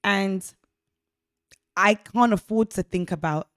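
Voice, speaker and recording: Woman, 30s, co-host; chatty, self-deprecating, mid pitch, dry close sound. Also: takes it slowly, a bit bright, smooth, no room sound. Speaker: podcast co-host. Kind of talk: casual faith discussion chat.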